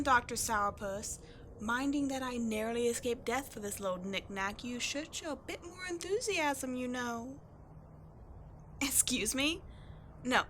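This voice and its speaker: Lady playing a cocky tomboy adventurer girl, high bright voice, snarky and slangy, audio roleplay. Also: slight background noise, full character voice. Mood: teasing, defensive. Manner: sarcastic, slangy, exasperated, expressive. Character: brash, cocky tomboy adventurer woman, sarcastic and defensive. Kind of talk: scripted ASMR-style audio roleplay, one-sided dialogue.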